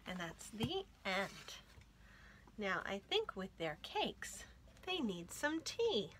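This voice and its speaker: Bright, lively woman reading to children. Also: medium pitch, slow pace, slight background noise. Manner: expressive, enunciated, addressing children directly. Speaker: children's library storytime host. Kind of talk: animated read-aloud storytelling for young children.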